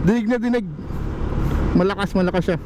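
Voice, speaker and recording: A man's voice: high-pitched, normal speed, noisy background. A man from the Philippines, a motorcycle rider, chatting casually as he reviews a helmet headset at home and talking straight to his viewers with lots of filler tags. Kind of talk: casual product review vlog. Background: music playing from the headset.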